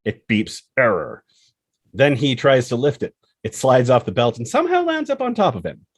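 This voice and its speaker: A man's voice: medium pitch, huge pitch swings, normal speed, breathy edge, no echo, studio quality. A man reading scripted screenplay action and scene headings as the narrator.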